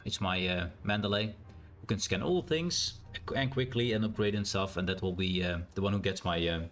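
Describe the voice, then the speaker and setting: A man with a naturally loud voice and a strained, sore throat, thinking aloud in a rambling way as he streams a game. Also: on the low side, brisk. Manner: rambling, thinks aloud with fillers and self-corrections. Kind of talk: casual live-stream gameplay commentary.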